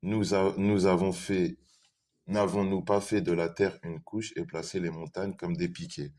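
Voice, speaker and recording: A man's voice: sits low, flat delivery, unhurried, a little mellow. A man in a live online debate, defending his view hesitantly, repeating himself and reading verses aloud.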